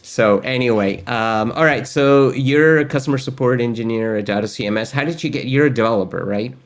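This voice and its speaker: A man's voice: mid-range voice, brisk. A middle-aged man from Argentina, hosting a casual webinar in an upbeat, chatty way with many fillers, recorded at his desk in a home studio. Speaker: host. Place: home studio with gaming chair.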